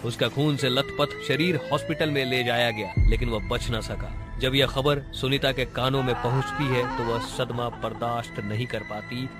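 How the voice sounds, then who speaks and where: A man tells a cartoon horror story in scripted narration. His pitch sits in the middle for a man, and music plays clearly behind his voice. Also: fairly even intonation, normal speed, few pauses.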